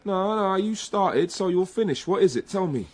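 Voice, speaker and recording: A man's voice: sits high, animated, normal speed. An actor playing a younger police detective in a radio drama, a man who is loyal, wry and persistent, with a probing manner. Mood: insistent.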